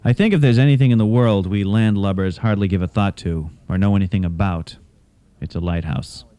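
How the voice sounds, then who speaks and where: A man hosting a radio theatre show, delivering scripted, literary narration in a measured, dramatic way. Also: on the low side, dark tone, no room sound. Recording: cassette of radio show, music behind.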